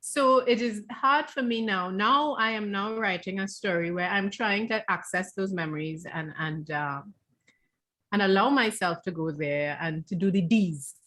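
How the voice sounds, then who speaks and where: A woman's voice: medium pitch, normal speed, a bit bright. Trinidadian woman explaining thoughtfully. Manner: thoughtful, explanatory, restarts phrases. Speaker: guest author. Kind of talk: casual book club chat.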